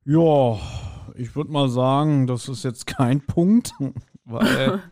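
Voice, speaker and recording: A man's voice: medium pitch, slow, a little mellow. A man chatting casually as a podcast co-host, recounting things in a joking way and often chuckling. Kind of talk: casual chat, recounting and discussing.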